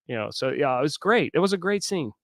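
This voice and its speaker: A man co-hosting a casual livestream, telling stories with animated energy and teasing his partner. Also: on the high side, fast, no echo, studio quality. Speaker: livestream co-host.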